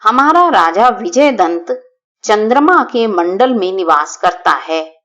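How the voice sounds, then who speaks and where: A woman voicing an old, wise, worried male rabbit in a children's story, giving earnest and measured advice to his kin. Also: mid-range voice, animated, fairly bright tone, highs cut off. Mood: worried, advising.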